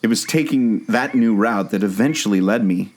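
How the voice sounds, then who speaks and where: A man's voice: fairly low pitch, normal speed, a little mellow. A man playing an earnest, obsessive amateur sleuth and former jungle skipper in a scripted audio drama. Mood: explaining. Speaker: podcast co-host.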